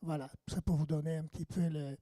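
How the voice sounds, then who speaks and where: A man, giving a hoarse, halting conference lecture on radiochemistry. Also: a little high, little pitch movement, warm tone, no room sound. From Italy. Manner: halting, many fillers and restarts. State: hoarse, lost his voice.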